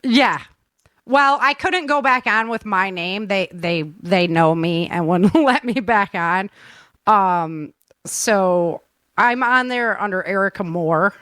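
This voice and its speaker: A woman's voice: mid-range voice, takes it slowly, fairly bright tone, clear, resonant tone, dry recording, clean studio sound. A woman hosting a show, chatting casually and informally. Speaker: show host and author. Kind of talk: casual chat.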